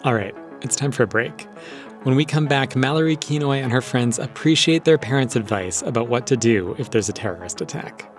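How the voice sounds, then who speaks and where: A man's voice: mid-range voice, brisk, soft background music. A man hosting a radio show, reading scripted links and introductions.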